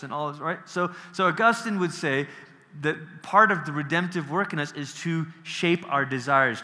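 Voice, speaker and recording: A man from Malaysia leads a relaxed teaching workshop, his voice bright and a little higher than most men's. He keeps checking in with his listeners as he goes, and his words ring out with a long reverb from a big hall. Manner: conversational, asks the audience questions, restarts, laughs easily. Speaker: workshop speaker. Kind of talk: casual lecture on theology.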